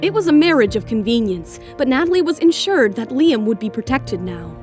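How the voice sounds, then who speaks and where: A woman's voice: on the high side, sing-song, a little fast, darkish tone, faint music behind. A woman narrating a scripted audio drama as storyteller.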